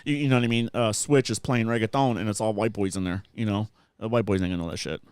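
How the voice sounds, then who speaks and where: A man chatting casually on a DJ podcast, telling stories in slangy, animated talk with plenty of swearing. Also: on the low side, fast, clear, resonant tone, no echo. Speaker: club DJ and podcast guest.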